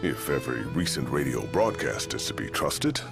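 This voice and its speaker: Courteous older game insider, rock-bottom pitch, swooping intonation, wry, formal wording. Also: male voice, very animated, creaky, over loud music, heavily acted. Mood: candid, wry. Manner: measured, formal, wry phrasing. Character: shrewd, gravel-voiced older local man, courteous and knowing. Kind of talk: video game cutscene acting.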